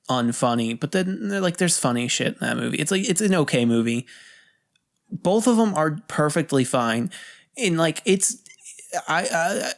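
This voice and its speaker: Podcast co-host for casual movie chat: mid-pitched voice, rambles, circles back on points, swears freely. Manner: rambling, repetitive, heavily sweary.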